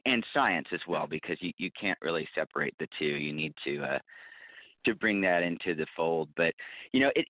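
A deep-voiced man speaks as an interview guest on a thin, dry phone connection. He talks casually and earnestly, with clear enthusiasm for the work. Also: no room sound. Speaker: podcast guest, bookseller and podcast producer. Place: phone call. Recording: roomless tinny phone line.

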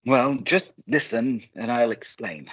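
A man playing an earnest, righteous, protective gentleman in a radio play, speaking formally and theatrically. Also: mid-range voice, slow pace, highs cut off, lightly acted. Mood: storytelling.

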